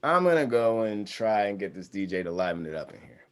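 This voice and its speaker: A man's voice: on the low side, very animated, fairly quick, clean tone. A man playing an easygoing, confident young man in a casual, conversational table read of a screenplay. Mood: playful.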